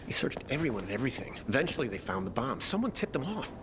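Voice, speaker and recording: A man plays a shaken resistance comrade from a video game, casual and clipped. His mid-pitched voice jumps around in tone, and loud noise runs behind it. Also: sing-song, fast talker, close to an everyday voice. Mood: shaken, scornful. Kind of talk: video game cutscene acting.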